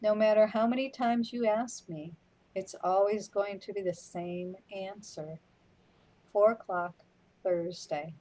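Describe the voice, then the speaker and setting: A woman playing a wry, weary companion in a radio play, speaking in a deliberate way. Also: mid-range voice, steady tone, dark tone, a little hiss or hum, close to an everyday voice, low-quality recording. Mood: wry, unruffled. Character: unhurried, matter-of-fact companion, wry and weary.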